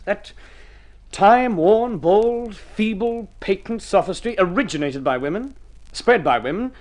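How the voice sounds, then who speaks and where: A talkative, urbane gentleman from a vintage radio drama. His highish voice rises and falls freely as he speaks in precise, pompous phrases. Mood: pompous, eager. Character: urbane, talkative gentleman narrator, fussy intellectual. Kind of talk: mid-century radio drama acting.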